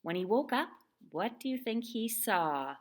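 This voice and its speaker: A woman's voice: a little high, big pitch swings, a little slow. A woman narrating a scripted children's story as the storyteller of a puppet show.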